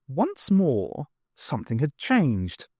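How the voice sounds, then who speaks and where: Male narrator for fiction audiobook: expressive, swooping intonation, very dry close sound. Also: medium pitch, takes it slowly, husky, no echo.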